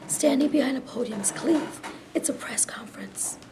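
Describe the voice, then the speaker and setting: A woman with a soft voice reading stage directions as scripted narration. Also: sits high, normal speed, whispered.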